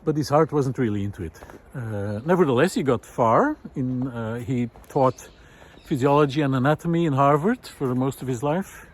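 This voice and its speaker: A man giving an informal, reflective solo talk in a thoughtful, halting way, pausing deliberately for emphasis. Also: mid-range voice, lively intonation. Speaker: host of a personal audio series.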